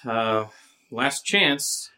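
A man's voice: medium pitch, expressive, very slow, pushed and bright, exaggerated voice. A man acting a jumpy, sarcastic young man in a horror audio drama, hesitant and wisecracking in a naturalistic way. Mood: nervous joking. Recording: noisy amateur location recording, uneven levels.

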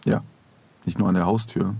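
A man's voice: low-pitched, fairly even intonation, moderate pace, creaky, warm tone, husky. A man co-hosting a podcast, chatting casually into a close microphone. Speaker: podcast co-host.

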